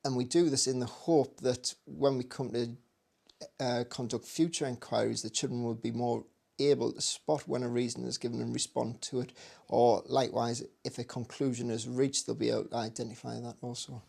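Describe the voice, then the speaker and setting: Male educator, mid-range creaky voice, explaining a method in a measured, slightly hesitant way. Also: medium pitch, fairly even intonation, darkish tone. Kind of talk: explanatory talk to camera.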